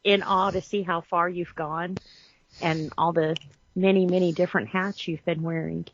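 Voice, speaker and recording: A woman's voice: fairly low pitch, fairly even intonation, moderate pace, muffled recording. A woman co-hosting a podcast, chatting casually. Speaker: podcast co-host.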